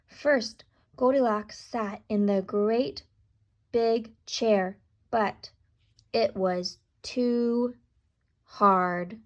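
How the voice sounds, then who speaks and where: A woman reading a children's story aloud with deliberate, dramatic emphasis, speaking directly to young listeners. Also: fairly high pitch, fairly even intonation, muffled recording. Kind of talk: expressive children's read-aloud with asides to listeners.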